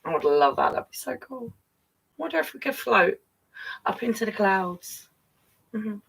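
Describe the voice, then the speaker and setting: A woman's voice: medium pitch, big pitch swings, unhurried, gravelly, a bit bright, slightly rough, far from the mic, poor audio. A woman reading a picture book to young children, playfully breaking off for chatty asides and questions to the listeners. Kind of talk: read-aloud story time with chatty asides.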